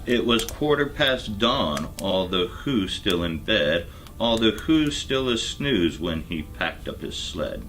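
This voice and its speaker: A man giving a deliberate, theatrical storybook narration in rhyme. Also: lowish voice, animated, fairly bright tone, a little hiss or hum, low-quality recording.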